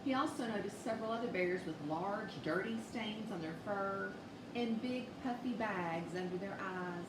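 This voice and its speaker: A woman reading a children's bedtime story aloud with expressive, measured delivery. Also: medium pitch. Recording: echoey room, distant mic, noisy background.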